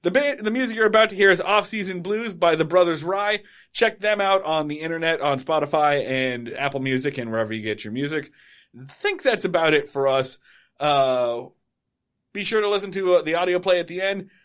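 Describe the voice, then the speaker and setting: A man's voice: fairly high pitch, brisk, fairly bright tone, clear, resonant tone. A man co-hosting a podcast, reading out plugs in a loose, rambling way and correcting himself as he goes. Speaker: podcast co-host. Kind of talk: casual podcast outro.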